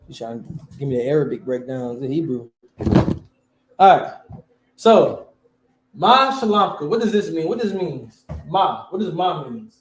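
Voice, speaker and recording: A man's voice: a little high, big pitch swings, moderate pace, pauses often, reverberant, a little hiss or hum, low-quality recording. A man teaching a class, quizzing his students and repeating key words.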